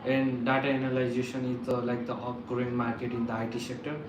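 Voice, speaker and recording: A young man from Nepal with a Nepali accent, answering visa interview questions in a halting, formal way, often restarting his sentences. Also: mid-range voice, completely flat delivery. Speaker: student visa applicant. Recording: echoey room with background noise.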